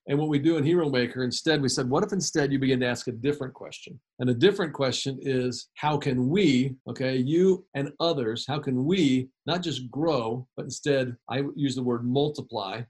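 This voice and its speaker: A man's voice: medium pitch, a little mellow, husky. A man as a guest author, answering in an emphatic, preacherly way and repeating key phrases.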